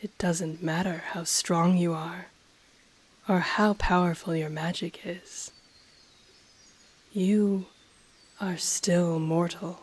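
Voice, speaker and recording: An ancient, haughty dragoness in human form acted out by a woman speaks in an intimate audio roleplay. Her voice is lowish and breathy, often sinking into a whisper. She takes her time with each phrase, teasing and condescending in a seductive way. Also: fairly even intonation. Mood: haughty, imperious. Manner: slow, deliberate, condescending, teasing. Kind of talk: intimate audio roleplay, scripted character acting.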